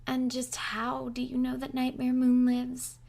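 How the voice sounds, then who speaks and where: A woman playing a cool, questioning female pony cultist in an audio drama, speaking in a measured, precise way. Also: sits high, completely flat delivery, vocal fry, a little mellow, full character voice.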